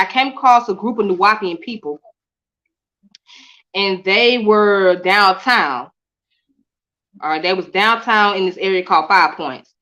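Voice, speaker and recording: A woman raised in Atlanta, a guest telling her story in a relaxed, repetitive, colloquial way. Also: mid-range voice, poor audio. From Midwest-born, raised in Atlanta. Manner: repeats herself, relaxed, colloquial. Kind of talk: casual interview storytelling.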